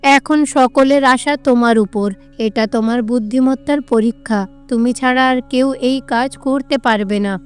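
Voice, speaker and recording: A woman voicing a gentle mother goddess in a cartoon, soothing, coaxing and encouraging. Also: sits high, a little slow, clean tone, soft background music.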